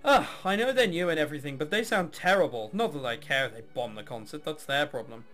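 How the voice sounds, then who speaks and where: A man's voice: fairly high pitch, lively intonation, fast, fairly bright tone, pitched below the performer's own voice. A man voicing a jaded young woman, a reluctant orchestra heiress in a visual novel, with sarcastic, bored delivery. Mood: bored, irritated.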